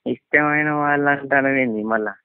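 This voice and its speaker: A man's voice: medium pitch, brisk, clear, resonant tone, no echo. A man with a Telangana Telugu accent chats casually on the phone, blunt, wary and full of questions. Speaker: friend receiving a prank phone call. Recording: tinny, dry, band-limited phone line.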